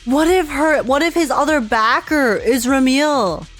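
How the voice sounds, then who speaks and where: Excitable, higher-voiced woman game streamer. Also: a little slow, soft background music. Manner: excitable, slangy. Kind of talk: casual live reaction commentary.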